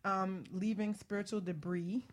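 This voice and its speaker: A woman's voice: medium pitch, steady tone, measured pace. A woman hosting a radio show in casual panel chat, informal and joking as she prompts the others.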